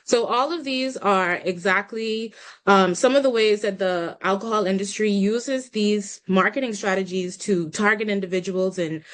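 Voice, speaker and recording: A young woman from the Bahamas gives a webinar presentation over a video call, walking listeners through slides and a live poll. She speaks politely, at a medium pitch. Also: moderate pace. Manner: polite, guides audience through polls.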